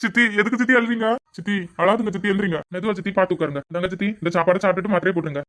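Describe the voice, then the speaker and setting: A woman's voice: mid-range voice, very fast, fairly bright tone, lightly acted. A woman playing a devoted, tender-hearted young stepdaughter in a family melodrama, earnest and pleading. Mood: tender, soothing.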